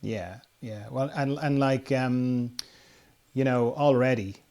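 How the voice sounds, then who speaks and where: A man with a mid-pitched voice hosts a relaxed podcast chat. He talks in a halting, meandering way, working out his thoughts as he speaks. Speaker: podcast host. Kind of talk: casual conversation, reading listener questions.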